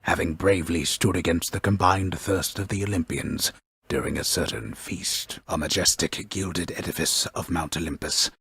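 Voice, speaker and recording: A man playing a grave, pompous storyteller in a mythic video game, narrating deliberately with wry, deadpan solemnity and a gravelly voice. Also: sits low, no echo, heavily acted. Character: grave, pompous old storyteller narrating Greek myth, wryly ominous. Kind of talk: scripted dramatic storybook narration.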